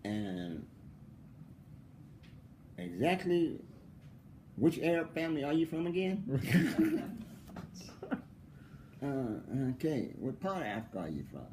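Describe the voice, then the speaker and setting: Male professor, lively swooping delivery, teasing rhetorical questions, emphatic repetition. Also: medium pitch, takes it slowly, pauses often, slight background noise, rough recording. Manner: animated, mocking rhetorical questions, frequent laughter; emphatic, repeats points for stress. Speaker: philosophy professor. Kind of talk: conversational interview answer.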